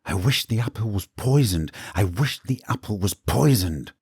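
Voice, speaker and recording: A man's voice: on the low side, animated, moderate pace, darkish tone, slightly rough, dry recording, clean studio sound, heavily acted. A man voicing a young serving woman's anxious, bitter inner thoughts. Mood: brooding. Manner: tense, whispered inner thoughts. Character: young kitchen servant woman, vengeful, anxious.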